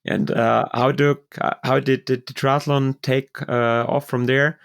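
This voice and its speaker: Male podcast host, German native speaker, clean resonant tone, chatty and halting. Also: mid-range voice, level tone, gravelly. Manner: friendly, halting, many fillers. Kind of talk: casual interview hosting.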